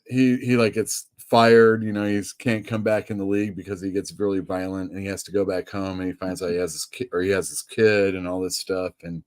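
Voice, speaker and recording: A man hosting a casual chat as a comic shop owner, talking in a relaxed way that often trails off mid-sentence. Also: low voice, fairly quick, few pauses. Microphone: streaming setup microphone.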